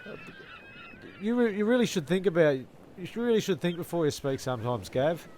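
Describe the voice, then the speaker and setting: A man with a gravelly voice acting out scripted comedy dialogue. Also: high-pitched, sing-song, fairly quick, darkish tone, a little hiss or hum. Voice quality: gravelly, creaky.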